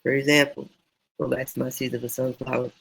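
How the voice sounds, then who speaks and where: A man teaching writing in a casual, meandering, encouraging way, his voice going hoarse. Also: medium pitch, little pitch movement, a little fast, fairly bright tone, low-quality recording. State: losing voice, hoarse. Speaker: self-published author teaching writing.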